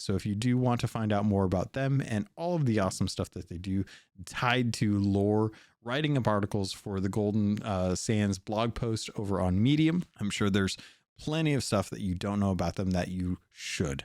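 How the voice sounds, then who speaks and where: A man hosting a podcast in a casual, rambling way, hoarse from a cold and often correcting himself. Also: on the low side, normal speed, darkish tone, dry recording, clean studio sound. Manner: rambling, self-correcting, thankful. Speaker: podcast host.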